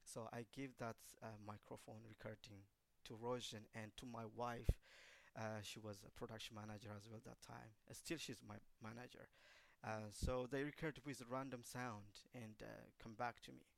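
A man, a choreographer and dancer, telling an interviewer about a creative project, speaking haltingly and searching for words. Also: mid-range voice, level tone, vocal fry, no echo.

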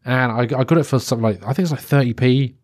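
A man's voice: mid-range voice, fast talker, a little mellow, no room sound, studio quality. Congested man rambling on a podcast. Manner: rambling and chatty, many restarts and fillers. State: stuffy-nosed, congested. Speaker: podcast host. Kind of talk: solo podcast monologue.

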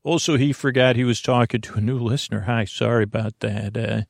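A man with a creaky voice hosting a bedtime podcast, rambling through a meandering introduction in a halting, digressive way, repeating and smushing words. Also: medium pitch, little pitch movement, no room sound, clean studio sound. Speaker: podcast host.

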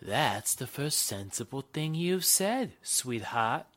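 A man's voice: mid-range voice, sing-song, slow, heavy background noise. An actor playing a menacing American gangster henchman in a 1940s radio drama, talking in sneering, slangy tough-guy style. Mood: smug.

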